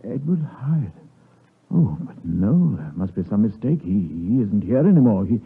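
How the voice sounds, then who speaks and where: A grave, evasive British gentleman doctor in an old radio play. His voice sits low and has a husky grain. The broadcast sound is narrow and muffled, and his formal speech tends to falter. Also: sing-song, full character voice. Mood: flustered evasive. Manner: formal, halting under questioning. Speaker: radio drama actor. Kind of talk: 1950s radio drama acting.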